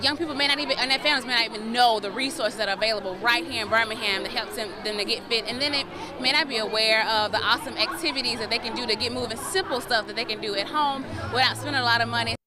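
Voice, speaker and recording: A woman's voice: a little high, quick pace. A woman answering spontaneously as an interviewee. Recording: busy crowd chatter behind.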